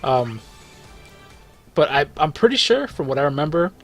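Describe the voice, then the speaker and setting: A man's voice: fairly high pitch, moderate pace, soft background music. Laid-back man narrating his gameplay. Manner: rambling, relaxed, frequent fillers and self-corrections, chuckles. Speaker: gaming video host. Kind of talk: casual gameplay commentary.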